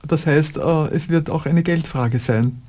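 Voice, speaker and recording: An interviewer asking questions in a halting way, with many fillers. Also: mid-range voice, darkish tone.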